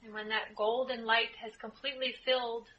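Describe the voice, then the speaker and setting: A woman leading a guided group meditation as a spiritual channeler, speaking in measured, deliberate phrases with frequent pauses. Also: a little high, flat delivery, muffled recording. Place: indoor room, live group setting. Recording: live group room, clear background noise.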